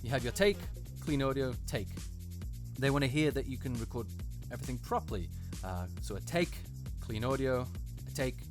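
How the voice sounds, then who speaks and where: A man's voice: mid-range voice, moderate pace, music in the background. A man giving unscripted casual commentary as host, hesitant and often repeating himself for emphasis. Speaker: host, voice actor reviewing auditions.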